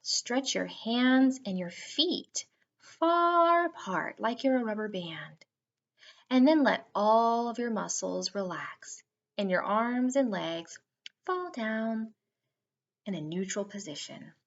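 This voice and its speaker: A woman narrating a guided meditation for children in a soothing, unhurried way with drawn-out phrasing. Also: on the high side, expressive, lo-fi sound.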